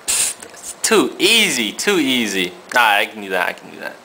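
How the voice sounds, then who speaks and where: A flippant, cocky young knight, played by a young man in a puppet show. His voice is bright and forceful, talking in slang over a noisy amateur recording. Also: on the low side, sing-song, moderate pace, creaky, full character voice. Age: teenager. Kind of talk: student puppet show acting.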